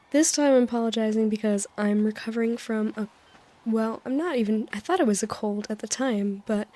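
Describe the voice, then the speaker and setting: Soft-spoken woman sleep-story host, mellow and a touch high, slightly hoarse, meandering and soothing. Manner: gentle, soothing, meandering with small laughs. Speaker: sleep-aid video host. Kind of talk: soft, rambling sleep-aid talk over gameplay. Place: voice-over recorded apart from the game footage.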